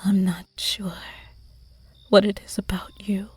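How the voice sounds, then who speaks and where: A woman voicing a seductive, predatory female vampire who teases her prey in slow, close, breathy whispers in an ASMR roleplay. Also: mid-range voice, flat delivery, a little mellow, no room sound. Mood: seductive teasing.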